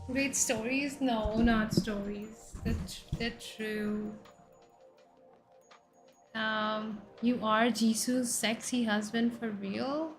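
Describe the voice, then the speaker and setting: Woman, 30s, Indian accent, VTuber host; unhurried, bright and chatty, soft music bed. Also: on the high side, steady tone. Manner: chatty, jumps between questions to chat, loud exclamations. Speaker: VTuber streamer. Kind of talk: casual chat reading viewer messages. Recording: faint background music under voice.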